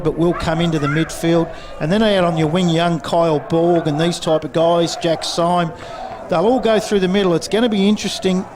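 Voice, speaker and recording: A man with an Australian accent leading sports commentary, brisk and fluent as he reels off names, fixtures and scores. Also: on the high side, background noise. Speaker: lead commentator. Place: outdoor football ground. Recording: outdoor commentary with clear crowd.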